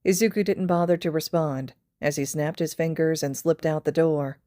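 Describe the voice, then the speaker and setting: Female podfic narrator, low pitch, scripted storytelling, very dry close sound. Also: normal speed, warm tone, no room sound. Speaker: podfic reader.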